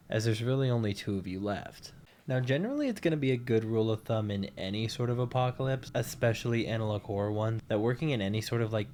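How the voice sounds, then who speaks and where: A man's voice: lowish voice, darkish tone. A young man hosting a video essay, narrating from a script in a casual, wry way with offhand jokes and the odd swear. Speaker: YouTube commentary host.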